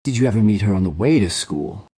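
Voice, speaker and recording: Guy playing a cop in an audio drama, low raspy voice, patient but keeps pressing. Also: lively intonation, no echo, clean studio sound. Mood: patient, probing. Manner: measured, gently insistent questioning. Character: patient, probing adult male police detective.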